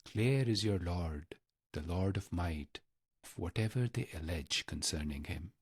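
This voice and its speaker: A man guiding a meditation in a measured, reverent manner, pausing deliberately between short phrases. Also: low voice, lively intonation, husky, dry recording, clean studio sound. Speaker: meditation guide. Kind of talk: guided meditation narration.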